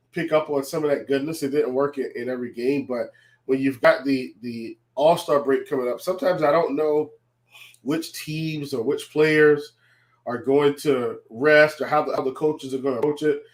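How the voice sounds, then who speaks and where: A man with a stuffy, congested voice from a cold, giving casual sports analysis with halting restarts. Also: mid-range voice. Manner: halting, many restarts and fillers.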